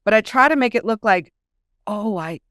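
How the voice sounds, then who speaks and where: A woman guest author chatting casually in an interview, telling things in an animated way and acting out quotes. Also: medium pitch, normal speed, no room sound, studio quality.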